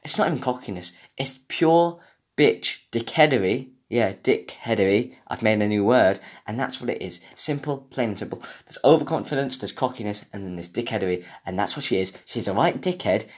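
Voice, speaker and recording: A man's voice: mid-range voice, animated, brisk. Sweary young British man on a rant. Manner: sweary, mock-indignant, rambling. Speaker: podcast host. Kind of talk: casual comedic rant.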